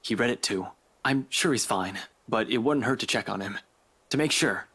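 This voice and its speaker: An actor playing a shaken young detective in a visual novel, halting yet determined and brushing off concern. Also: male voice, fairly low pitch, pushed and bright, slightly rough, full character voice. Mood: rattled, evasive. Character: rattled young male detective, guarded but determined.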